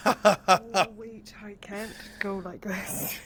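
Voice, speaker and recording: A woman's voice: mid-range voice, steady tone, very slow. A woman playing a brash, flustered, foul-mouthed fantasy assassin in improvised online roleplay, casual and exclaiming.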